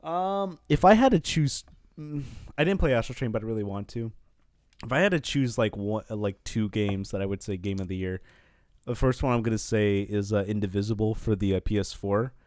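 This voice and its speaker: A man's voice: lowish voice, brisk, darkish tone, no echo, clean studio sound. A man co-hosting a podcast, chatting casually among friends and giving blunt, informal opinions. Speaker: podcast co-host.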